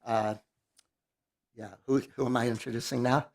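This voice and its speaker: A man giving an academic lecture in a relaxed, halting way with many fillers. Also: medium pitch, gravelly. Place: lecture hall.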